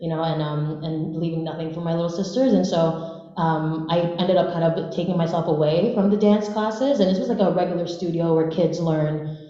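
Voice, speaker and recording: A woman's voice: on the low side, moderate pace, mellow. A woman in her twenties from the Philippines, a native Bisaya speaker, telling her story casually and informally on a panel. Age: 20s. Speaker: panelist, dancer. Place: large echoey room at a live panel event. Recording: very echoey hall, slightly muffled.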